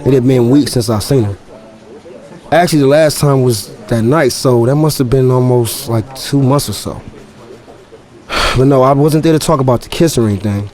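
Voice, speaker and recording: A man's voice: medium pitch, warm tone, close to an everyday voice. An actor playing a young football running back who narrates his own story in a reflective, wry inner monologue for an audio drama. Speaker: lead character and narrator.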